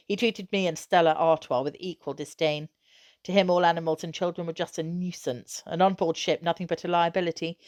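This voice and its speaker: A woman reading a children's novel aloud, narrating expressively with measured storytelling emphasis. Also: lowish voice, a little mellow.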